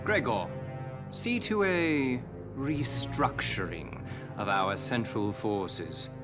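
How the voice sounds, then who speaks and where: An actor playing a composed, imperious noble army commander in a game cutscene, speaking formally and with measured authority. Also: male voice, medium pitch, animated, over music. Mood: imperious, resolute. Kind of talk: dramatic game cutscene acting.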